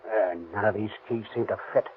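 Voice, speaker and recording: An actor playing a brash, cocky burglar who talks tough slang in a 1930s radio crime drama. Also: male voice, lowish voice, steady tone, fairly quick, natural voice. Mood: impatient, brash. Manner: slangy, tough, swaggering talk. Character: cocky, brash gangster burglar, impatient and forceful. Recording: tinny, noisy 1930s radio recording.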